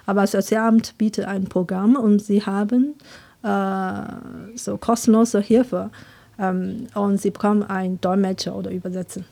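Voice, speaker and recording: Young chinese woman, slow and halting, lots of um and little laughs. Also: medium pitch, fairly even intonation, vocal fry, warm tone. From China. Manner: hesitant, many fillers, laughs often. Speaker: interviewee. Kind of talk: casual interview answers.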